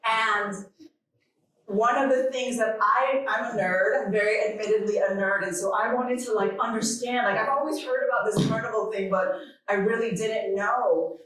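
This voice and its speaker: A woman from Jamaica giving an informal keynote speech, conversational and reflective, with deliberate pauses. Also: on the high side, steady tone, fairly bright tone. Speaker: keynote speaker at a conference. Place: large echoing conference hall. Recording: very echoey hall, distant microphone.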